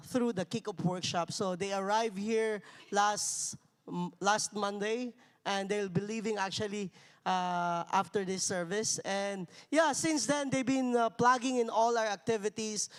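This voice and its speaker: A man's voice: sits high, clear, resonant tone. Hesitant man announcing in a reverberant church hall. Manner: hesitant, many "uh" fillers. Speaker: church member introducing guests. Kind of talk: casual announcement.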